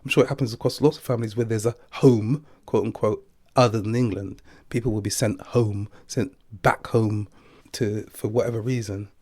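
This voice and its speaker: A man's voice: fairly low pitch, steady tone, normal speed, darkish tone, dry recording, studio quality. An older man giving a thoughtful, philosophical interview, chuckling now and then as he reflects.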